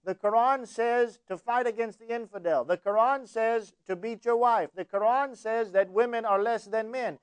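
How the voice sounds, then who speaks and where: A man's voice: extremely high pitch, normal speed, hardly any pauses, clear, resonant tone, no room sound. A man hosting a live TV call-in show, pressing his caller insistently and quoting scripture like a preacher. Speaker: TV show host. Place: TV studio.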